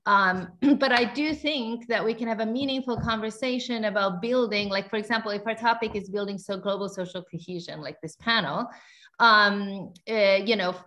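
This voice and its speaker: A woman's voice: mid-range voice, normal speed, a bit bright. A woman from Finland in her forties, a researcher answering a panel question over a video call, fluent and explanatory with light fillers. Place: indoor room. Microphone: video call webcam.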